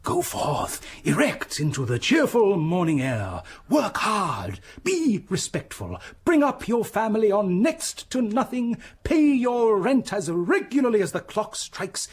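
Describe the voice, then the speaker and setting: A male actor playing a pompous, wealthy English gentleman in a period radio drama, blustering, hesitating and sermonizing. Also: high voice, wildly swooping pitch, slow pace, slightly rough, heavily acted. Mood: condescending. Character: pompous, wealthy, self-satisfied gentleman and member of parliament.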